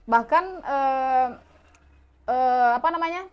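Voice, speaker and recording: A woman's voice: high voice, slow pace, clean tone, muffled recording. A middle-aged woman from West Sumatra, chatting casually as a podcast guest and often repeating her phrases. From West Sumatra, Indonesia. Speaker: podcast guest, online content creator.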